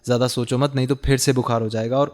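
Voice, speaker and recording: A withdrawn young husband, performed by a man in a radio play, hurt and guarded. His delivery is halting and emotionally strained. Long hall reverb surrounds him, with faint music behind. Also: medium pitch, level tone, darkish tone, natural voice. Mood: evasive, weary. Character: wounded, withdrawn young husband hiding resentment behind duty. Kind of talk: Hindi radio drama acting.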